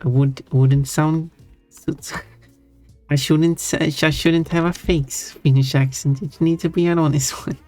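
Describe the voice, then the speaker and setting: Streamer, relaxed unhurried delivery, self-correcting chatter, faint music bed. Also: mid-range voice, no room sound. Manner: rambling, self-correcting, giggly. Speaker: live streamer. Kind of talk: casual live-stream chat while drawing. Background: faint background music.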